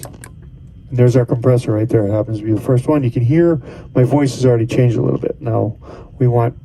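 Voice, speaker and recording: A man's voice: mid-range voice, vocal fry, mellow, slight background noise, poor audio. A man casually talking through a device setup step by step as a tutorial host. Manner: casual, talks through each step as he goes.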